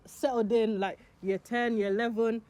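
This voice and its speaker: A young woman from Nigeria talks casually in a documentary interview. Her tone is mellow and soft rather than bright. She tells her stories with lively bits of acted-out speech. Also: on the high side, normal speed. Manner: animated, acts out quoted speech. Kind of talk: casual storytelling to camera.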